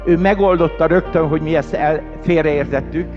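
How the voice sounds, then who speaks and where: Brief: high-voiced Hungarian man, seminar speaker, short phrases, dull lo-fi sound. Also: normal speed, over music. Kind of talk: motivational speech through an interpreter.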